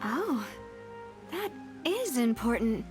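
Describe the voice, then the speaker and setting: A woman's voice: a little high, sing-song, slow pace, music in the background. An actress playing a confident, friendly young female leader in a video game, speaking in a polite, explanatory way. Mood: thoughtful. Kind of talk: video game dialogue acting.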